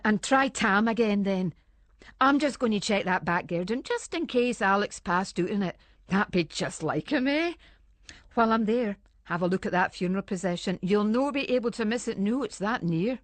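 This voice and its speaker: A woman's voice: fairly high pitch, expressive, moderate pace, no echo, close to an everyday voice. An anxious Scottish housewife, played by a woman, chatters away in broad, homely dialect. She rambles nervously in the manner of a radio play monologue. The sound is dry and close, with no room around her. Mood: restless, fretting.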